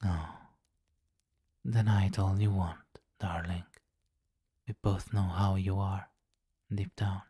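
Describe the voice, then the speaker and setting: A man playing a possessive, teasing vampire king in an intimate ASMR roleplay, commanding and breathy, often slipping into whispers on a close mic. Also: low-pitched, level tone, slow pace, long pauses, mellow, no room sound, full character voice. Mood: teasing, amused. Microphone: close microphone, dry.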